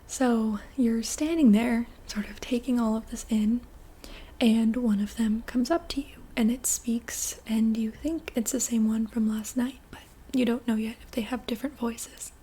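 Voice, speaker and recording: Softly whispering woman telling an ASMR story. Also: on the high side, fairly even intonation, husky. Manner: soft whisper, unhurried, casual fillers. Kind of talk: whispered ASMR roleplay narration.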